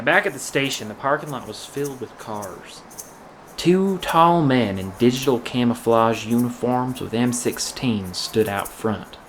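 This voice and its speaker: A man playing an observant police officer, telling his story in a halting, confiding first-person audio drama narration. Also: lowish voice, fairly bright tone, slight background noise. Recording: music playing behind the voice. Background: music.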